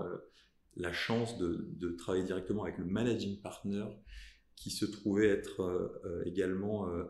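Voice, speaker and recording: A man in his thirties from southern France, a lawyer telling the story of his career in a podcast interview, pausing often with fillers and restarts. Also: low-pitched, vocal fry, a little mellow, echoey room, a little hiss or hum. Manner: halting, many fillers and restarts. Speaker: lawyer guest.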